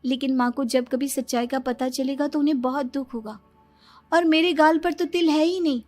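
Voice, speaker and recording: Honest young cartoon bride female narrator acting, high, earnest, gentle, music underneath. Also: moderate pace, lightly acted. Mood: worried.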